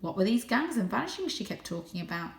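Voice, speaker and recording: A woman narrating a children's storybook in an expressive, dramatic way. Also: mid-range voice, fast, slight background noise. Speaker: storybook reader, teacher reading to children. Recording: roomy, echoing indoor sound.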